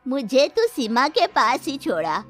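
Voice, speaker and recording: A woman's voice: sits high, very animated, a little slow, faint music behind. A woman playing a stingy, stern older mother-in-law in a cartoon story, curt and demanding. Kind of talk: cartoon moral story acting.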